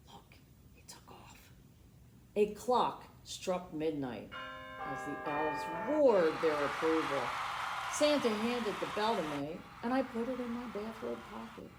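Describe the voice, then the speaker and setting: Animated woman storyteller in an echoey room. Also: medium pitch. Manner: animated, dramatic, pausing to question young listeners. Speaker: storytime host reading a picture book aloud. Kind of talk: expressive children's read-aloud with asides to listeners. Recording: roomy echo, noticeable background noise.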